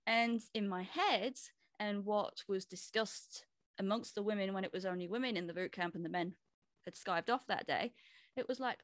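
A woman's voice: mid-range voice, moderate pace, no echo. A woman, a personal trainer giving a casual talk over a video call and acting out little quoted asides. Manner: chatty, explains with quoted asides.

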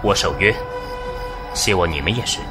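A man's voice: low voice, normal speed, music in the background, close to an everyday voice. A man playing a proud, stern swordsman in a Chinese wuxia audio drama, speaking curtly and with command. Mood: cool, challenging. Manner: curt, commanding.

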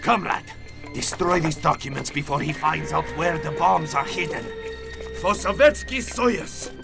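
A menacing Soviet agent from a video game, with a Russian accent and a very creaky, gravelly voice. He declaims with zeal over background music. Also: on the high side, unhurried. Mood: urgent, fervent. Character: zealous, stern male Soviet agent villain. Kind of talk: video game cutscene acting. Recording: music behind the voice.

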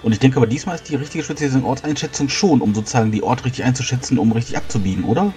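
A man's voice: mid-range voice, very fast, warm tone. A man talking casually as a player in a tabletop role-playing game discussion. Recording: music under the voice. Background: background music.

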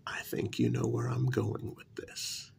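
A man playing a cajoling talent agent in a soft-spoken ASMR role-play, half-whispering gently and coaxingly, close to the microphone. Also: extremely low pitch, sing-song, gravelly, heavily acted. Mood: coaxing, flattering. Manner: gentle, coaxing, deliberate with pauses. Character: short, cajoling male talent agent to monster women.

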